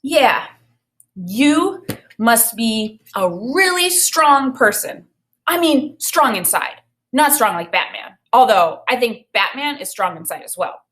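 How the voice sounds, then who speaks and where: Woman voicing an earnest, animated, high-pitched young boy. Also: slow, heavily acted. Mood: sincere. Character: earnest, reassuring young boy narrating his adventure.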